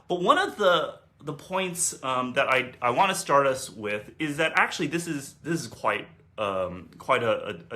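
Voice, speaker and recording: American man, bright clear voice, frequent uh and um, restarts his phrases, lecturing at a workshop. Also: mid-range voice. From United States. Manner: hesitant, many "uh" and "um" fillers and restarts. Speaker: theologian, workshop speaker. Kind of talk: recorded workshop talk.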